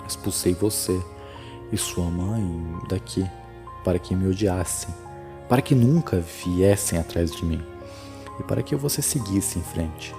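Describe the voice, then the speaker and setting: A man's voice: low-pitched, unhurried, over music. A Brazilian man voicing a dead father's ghost, an older, sardonic, fatalistic man, in a horror audio drama. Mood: bitter, resigned.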